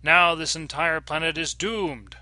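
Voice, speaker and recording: Time traveler for sci-fi audiobook, male reader: earnest, frantic, emphatic, formal, very sharp tone. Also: fairly high pitch, unhurried, no echo, pitched above the performer's own voice. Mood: urgent, reproachful. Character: frantic, principled time-travelling man pleading a doomed planet's case. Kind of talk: dramatic audiobook character reading.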